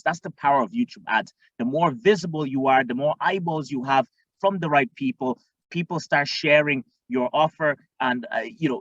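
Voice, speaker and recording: A man from Tanzania, a marketing coach, teaching in an energetic way and often checking in with the listener. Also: a little high, no room sound. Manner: energetic, repeats "okay?" often. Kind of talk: instructional screen-share talk. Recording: dull lo-fi dry sound.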